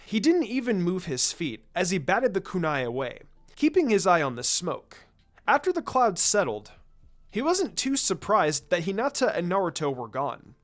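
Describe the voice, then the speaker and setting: A man reading a story aloud as an expressive narrator of scripted fiction. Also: sits high, normal speed. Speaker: story reader.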